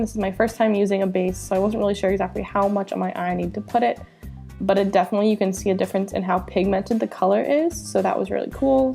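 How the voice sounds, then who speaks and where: A young woman narrates her makeup routine in a chatty, upbeat way, her voice nasal from a cold. There's a gravelly edge to her tone, and faint music plays softly underneath. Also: mid-range voice. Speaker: beauty vlogger. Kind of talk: makeup tutorial voice-over.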